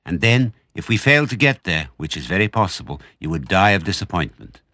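A man voicing a clever, excitable father fox from a children's storybook, fond of his children, exclaiming and giving orders with pride. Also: sits low, a little fast, dry recording, studio quality, exaggerated voice. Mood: earnest, hopeful. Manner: exclamatory, boastful, affectionate.

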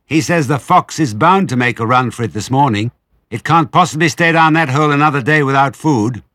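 A man voicing a bossy farmer's wife, loudly calling out and scolding, in a storybook. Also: medium pitch, brisk, no echo, clean studio sound, pitched above the performer's own voice, lightly acted.

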